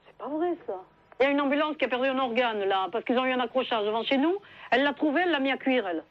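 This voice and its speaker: Woman, polite and sincere, high-pitched and brisk, natural telephone talk. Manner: polite, earnest. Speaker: prank call recipient at a carpentry business. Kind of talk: spontaneous phone conversation. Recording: muffled telephone line.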